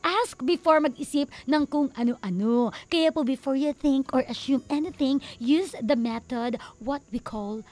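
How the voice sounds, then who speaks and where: A woman's voice: high voice, measured pace, hardly any pauses, a little mellow. A Filipino woman hosting radio in casual, playful, giggly banter full of exclamations. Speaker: radio host.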